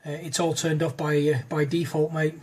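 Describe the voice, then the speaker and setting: A man chatting easily on a ham radio, often saying mate and clearing his throat. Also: a little high, little pitch movement, moderate pace, darkish tone. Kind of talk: casual radio chat.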